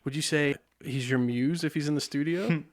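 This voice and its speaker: A man hosting a podcast in a studio, asking easygoing questions and giving quick short replies. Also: a little high. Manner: friendly, quick back-channel replies and prompting questions. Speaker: podcast host. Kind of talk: casual interview chat.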